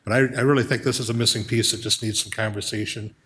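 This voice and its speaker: Middle-aged council guy, lowish voice, earnest, lots of ums, desk mic in council chamber. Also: fairly even intonation, quick pace, fairly bright tone. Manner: earnest, thinking aloud with many fillers. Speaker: city council member. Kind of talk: council meeting remarks. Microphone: desk microphone.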